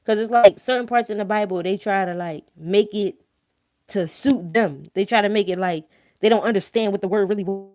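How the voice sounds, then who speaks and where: A woman's voice: medium pitch, brisk, a little mellow. A woman with a thick Philadelphia accent talks informally to her live-stream viewers, blunt and emphatic, slangy, swearing freely and checking that they follow. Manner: repeatedly checks listeners follow with tag questions. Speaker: online live-stream host. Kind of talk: informal opinionated talk to viewers.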